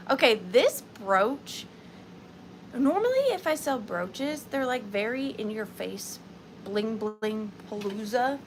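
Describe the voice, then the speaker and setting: A woman hosting a live vintage sale from her home, talking softly and chattily, sometimes dropping to a whisper. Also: fairly high pitch, noisy background. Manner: soft, slow and chatty, drifting into whispers. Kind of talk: live auction selling chat. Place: home studio with ring light.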